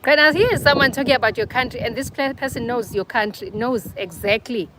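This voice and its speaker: A woman's voice: a little high, fairly quick. Young woman, South African accent, casual livestream chat, teasing viewers by name, phone held at arm's length, faint background hiss. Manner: chatty, teasing, answers comments by name. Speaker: livestream host.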